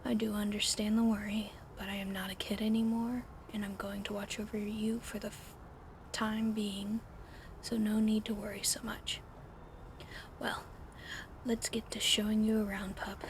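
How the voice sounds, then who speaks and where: A woman whispering an ASMR roleplay in a soft, soothing, coddling way over an audible fan hum. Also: mid-range voice, flat delivery, normal speed.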